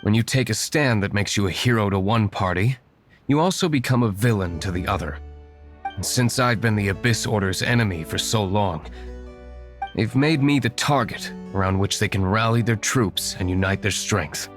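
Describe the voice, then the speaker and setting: An actor playing a cool, guarded young aristocratic vigilante in a video game, measured and matter-of-fact. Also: male voice, fairly low pitch, soft background music, close to an everyday voice. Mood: serious, resolute.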